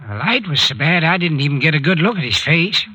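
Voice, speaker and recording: A man's voice: a little high, sing-song, fairly quick, bright, forceful tone, slightly rough, no room sound, heavily acted. An actor playing a plainspoken, puzzled young man in an old-time radio mystery, blunt and full of questions. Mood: puzzled, insistent. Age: young adult.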